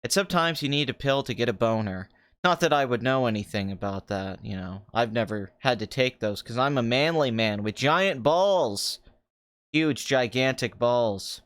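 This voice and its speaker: A man hosting a live stream podcast in a free-form, rambling chat, joking crudely, with a slightly rough voice. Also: mid-range voice, animated, moderate pace, a bit bright.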